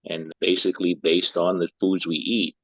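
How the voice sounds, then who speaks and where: A man's voice: sits low, little pitch movement, normal speed, fairly bright tone, husky, dry recording, muffled recording. A middle-aged man from Liberia, a health and lifestyle coach, answering interview questions thoughtfully and often repeating words as he searches for them.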